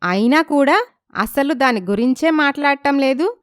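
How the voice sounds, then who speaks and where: A woman's voice: high voice, lively intonation, a little slow, dry recording, clean studio sound, pitched above the performer's own voice. A woman voicing a meek, anxious young village wife in a story, timid and respectful. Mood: troubled, suspicious.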